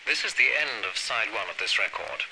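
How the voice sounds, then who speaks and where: A man's voice: on the low side, animated, fairly quick, low-quality recording. A man gives a formal recorded announcement, instructing listeners as an announcer.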